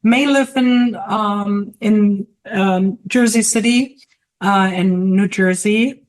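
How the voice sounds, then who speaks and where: A middle-aged woman with a German accent, speaking in an ordinary middle register. She talks in a relaxed, conversational way, often pausing to find her words. The sound is that of a video call. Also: mid-range voice. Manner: hesitant, pauses often to search for words. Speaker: guest artist and teacher. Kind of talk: casual interview chat.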